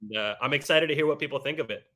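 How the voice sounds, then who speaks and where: Man, 29, podcast co-host; excitable, joking, bright forward tone. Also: mid-range voice, very fast. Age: 20s. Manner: excitable, jokey, stammering restarts. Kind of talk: casual sports podcast chat.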